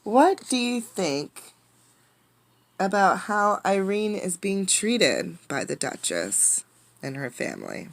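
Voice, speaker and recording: A woman reading a picture book aloud with dramatic, emphatic delivery, pausing to put questions to listeners. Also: medium pitch, sing-song, creaky, a little hiss or hum. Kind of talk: expressive storybook read-aloud with questions to listeners.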